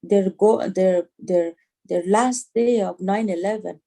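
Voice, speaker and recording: A middle-aged woman with an Afghan accent, speaking emphatically in halting English as a guest on a video call interview. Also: medium pitch, creaky, mellow. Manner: emphatic, repeats phrases in halting non-native English. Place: small home room, indoors. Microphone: video call on a phone or laptop.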